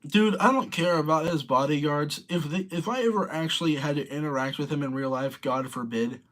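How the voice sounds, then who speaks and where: A man's voice: fairly high pitch, fairly quick, fairly bright tone. A young man in his late teens, casually rambling through commentary and reading viewer comments aloud. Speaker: streamer reading chat.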